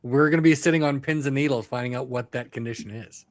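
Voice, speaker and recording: A wry man talks sports as a podcast co-host. His pitch is average for a man, his delivery casual and joking, and the recording is dry with no room sound. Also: fast talker, no echo.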